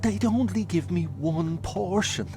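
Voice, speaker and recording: Humble, desperate pauper with swooping pitch. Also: male voice, lively intonation, unhurried, background noise. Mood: desperate pleading. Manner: pleading, stammering, deferential. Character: desperate, humble poor family man, pleading and grateful. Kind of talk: video game cutscene dialogue.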